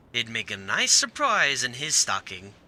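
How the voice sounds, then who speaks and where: A man's voice: medium pitch, bright, forceful tone, heavily acted. A man playing a mischievous, dryly teasing teenage friend in a comic dub.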